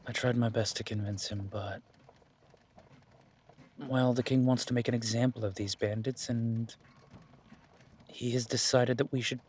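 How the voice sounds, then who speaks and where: Man acting a husky, weary werewolf duke. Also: medium pitch, steady tone, a little slow, pauses often, a little mellow. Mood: resigned, cautioning. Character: weary werewolf duke, guarded, loyal noble commander. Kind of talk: fantasy audio drama acting.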